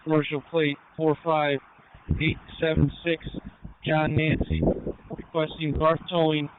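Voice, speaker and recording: A man's voice: on the high side, level tone, unhurried. A man working as an officer, reporting in from the road over a two-way radio. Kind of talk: slow-spelled radio report. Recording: tinny noisy scanner radio.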